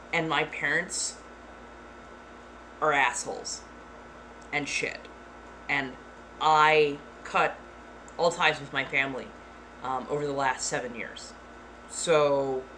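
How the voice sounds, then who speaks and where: A woman streamer chatting casually with her viewers, blunt and frank, keeping her voice deliberately flat and dropping the odd swear. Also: low-pitched, low-quality recording. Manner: blunt, deliberately monotone delivery. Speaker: streamer answering chat. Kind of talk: casual live-stream chat. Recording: clear background noise.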